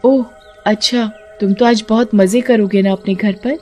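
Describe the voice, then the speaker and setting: A woman's voice: medium pitch, fairly even intonation, unhurried, dark tone, faint music behind, natural voice. A woman voicing a lonely, sweet little rich girl in a cartoon, with a plaintive, childlike manner. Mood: cheerful. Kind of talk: Hindi cartoon voice acting.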